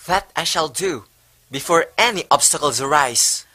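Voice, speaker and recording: Man playing an eager, husky-voiced friar. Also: fairly high pitch, expressive, normal speed, sharp tone. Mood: earnest, reverent. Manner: earnest, reverent. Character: devout, eager Spanish friar embracing poverty. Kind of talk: amateur historical stage play acting.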